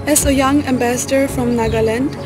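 High, flat-voiced young woman. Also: a little fast, over music. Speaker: youth ambassador. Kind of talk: scripted advocacy speech.

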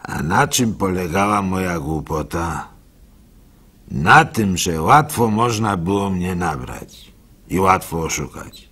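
Radio drama lead: good-natured gullible fool, husky and bright-edged, halting storyteller. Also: male voice, deep voice, lively intonation, exaggerated voice. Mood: rueful, bemused. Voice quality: husky, gravelly. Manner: halting, stammering repeats, chuckles, acts out hecklers. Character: gullible male village simpleton and orphan, good-natured, telling his story. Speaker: actor narrating a monologue.

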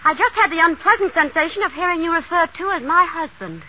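An actress playing a spoiled young heiress, proper and indignant, in 1930s radio drama style. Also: female voice, very high-pitched, animated, fast, slight background noise. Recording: muffled 1930s radio broadcast.

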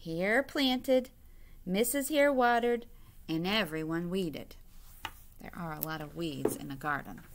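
A woman's voice: lowish voice, measured pace, background noise. A middle-aged woman, a teacher, reading a children's story aloud expressively in a classroom. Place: indoor classroom.

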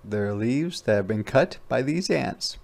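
A man's voice: medium pitch, lively intonation, measured pace, creaky, darkish tone. A man reading a picture book aloud as a teacher, explaining things simply and conversationally for children. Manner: conversational, repeats phrases.

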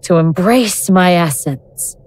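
A woman playing an awakened ancient goddess in an audio drama, speaking in a measured, sardonic, grandiose way with cold contempt. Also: fairly low pitch, expressive, a little mellow, dry recording. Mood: menacing calm.

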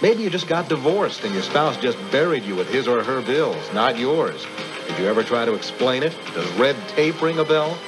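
Male hard-sell radio ad voice, mid pitch, emphatic delivery, music underneath. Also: big pitch swings, normal speed, rough recording. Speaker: commercial announcer.

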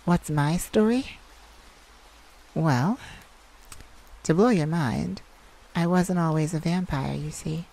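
A woman playing a caring, teasing vampire in an intimate ASMR roleplay, speaking gently and soothingly with sighs and pauses. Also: low voice, gravelly, heavily acted, poor audio. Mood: playful, confiding. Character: caring, teasing, sympathetic vampire confiding in a hunter. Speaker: audio roleplay performer.

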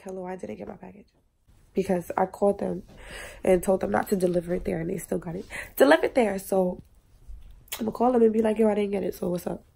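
A woman's voice: medium pitch, vocal fry, a little mellow, breathy edge. A young woman vlogging casually to her phone camera, chatty and slangy, still congested from a cold. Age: young adult. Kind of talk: casual vlog talk to camera. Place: small room indoors. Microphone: phone camera at arm's length.

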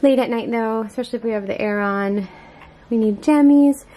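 A woman's voice: fairly high pitch, fairly quick, mellow. A young adult American woman chatting casually to viewers, thinking aloud in a meandering, informal way, recorded on a handheld camera at home. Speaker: vlogger, mother preparing for a baby. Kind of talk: casual vlog chat to viewers. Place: inside a home, moving between rooms. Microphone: handheld camera mic. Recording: handheld camera with clear background noise.

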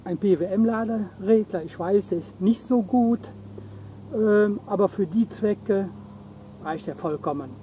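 A middle-aged man with a high, mellow voice explains his gear to camera while sitting on a campsite lawn. He talks easily and gestures along, picked up from a few meters with faint outdoor noise. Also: slow pace, rough recording. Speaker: camping vlog co-host. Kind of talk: casual product explanation. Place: outdoors on a campsite lawn beside a camper van. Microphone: camera mic from a few meters.

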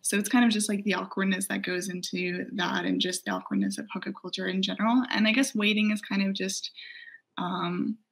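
A woman's voice: medium pitch, animated, a little fast, gravelly, husky. Upbeat young woman on a video call. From New York. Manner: upbeat, casual with likes and kind-ofs. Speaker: web series creator and actress. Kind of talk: casual interview answers. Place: home room.

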